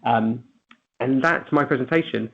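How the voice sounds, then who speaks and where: A man giving a webinar presentation in a brisk way, with some restarts. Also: medium pitch, gravelly, breathy edge. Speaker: webinar speaker. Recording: tinny phone-line audio.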